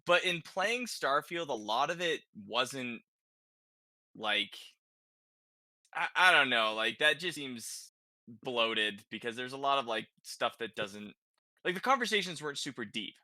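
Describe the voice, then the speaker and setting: Man, very bright forceful voice, dry close sound, informal podcast host, conversational, thinking aloud. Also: mid-range voice, expressive, a little slow, no echo. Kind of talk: casual chat about video games.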